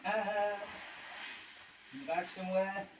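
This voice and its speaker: A man's voice: high voice, fairly even intonation, takes it slowly, background noise. A man chatting casually through a rambling hobby vlog, self-deprecating, with muttered asides. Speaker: radio hobbyist presenter. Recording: distant mic, radio playing behind.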